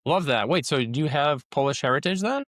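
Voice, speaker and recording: A man's voice: fairly high pitch, animated, a little fast, fairly bright tone, dry recording, clean studio sound. A man hosting a podcast, asking casual interview questions with lots of fillers and stumbling restarts.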